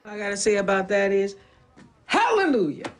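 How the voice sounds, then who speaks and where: A woman's voice: medium pitch, big pitch swings, normal speed, a little hiss or hum. A woman speaking as if interviewed, in a clip played from a video.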